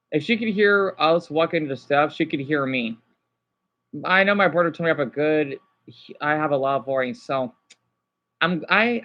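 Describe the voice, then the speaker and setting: A man chatting casually to live viewers as a streamer, rambling and halting as he jumps between thoughts. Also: a little high, lo-fi sound. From United States.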